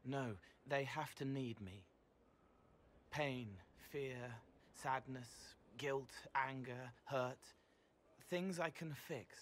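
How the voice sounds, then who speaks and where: A man voicing a gentle, otherworldly young male spirit in a video game, speaking in fragmented, poetic, halting phrases. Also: medium pitch, slight background noise. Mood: quietly earnest. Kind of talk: dramatic video game voice acting.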